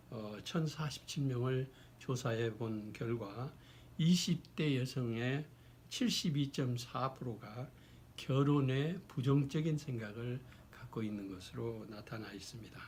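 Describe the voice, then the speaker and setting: A man, hoarse from a cold, giving an explanatory talk in a formal, deliberate way with halting phrasing. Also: mid-range voice, darkish tone, a little hiss or hum. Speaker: lecturer. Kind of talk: explanatory talk on social issues.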